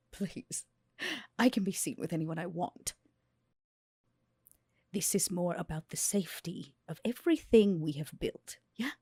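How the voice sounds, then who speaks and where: A woman plays a haughty character in a tabletop game with a slow, deliberate delivery. Her voice is low-set and husky, recorded dry and close. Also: warm tone, dry recording. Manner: haughty, measured. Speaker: player. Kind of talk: in-character roleplay.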